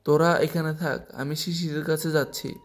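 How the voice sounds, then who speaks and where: A man's voice: fairly high pitch, steady tone, normal speed, close to an everyday voice. A man voicing a worried young man in an audio story, giving orders in an urgent, firm way. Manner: urgent, commanding.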